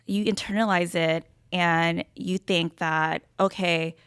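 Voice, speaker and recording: Low-pitched, earnest young woman. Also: steady tone, slow, fairly bright tone, clean tone, no echo, clean studio sound. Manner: earnest, talks through ideas with many "right?". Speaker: podcast co-host. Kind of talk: casual chat.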